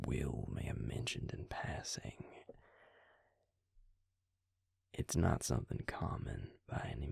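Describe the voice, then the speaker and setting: A man playing a patient, grave vampire in an intimate audio roleplay, speaking husky, breathy and low, with hesitant, deliberate pauses. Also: a little mellow, dry recording, clean studio sound, full character voice. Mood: hushed, cautious. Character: male vampire, patient, grave, quietly explaining lore.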